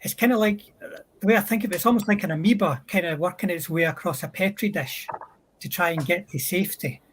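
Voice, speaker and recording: A man on a discussion panel explaining military history in a thoughtful, halting way, stretching out words. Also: high-pitched, a little hiss or hum. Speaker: panel speaker.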